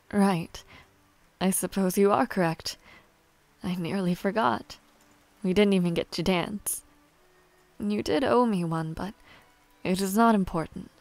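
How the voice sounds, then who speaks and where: A woman playing a young noble villainess in a romantic audio roleplay, proud yet shy and tender, speaking softly in formal, halting phrases. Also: mid-range voice, no echo. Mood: shy, gentle.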